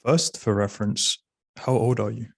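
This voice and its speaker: A man's voice: fairly low pitch, fairly even intonation, normal speed, gravelly, dry recording, clean studio sound. A man interviewing a guest with brief, level questions.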